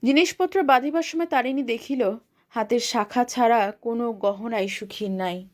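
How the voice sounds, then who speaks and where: A woman narrating a literary short story in a measured, formal reading style. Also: a little high.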